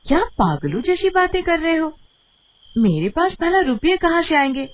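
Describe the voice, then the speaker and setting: A woman voicing an excitable, sharp-tongued village wife in a dramatized story, exclaiming dramatically. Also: on the high side, unhurried. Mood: scolding. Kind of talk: dramatized Hindi moral story acting. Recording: dull lo-fi audio with background noise.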